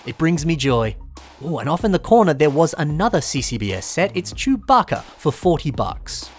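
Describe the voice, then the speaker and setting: A man's voice: a little high, big pitch swings, normal speed, soft background music. A young man, talking casually over a shopping vlog and weighing up toy prices. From Australia. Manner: chatty, opinionated about prices. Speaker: toy collector vlogger.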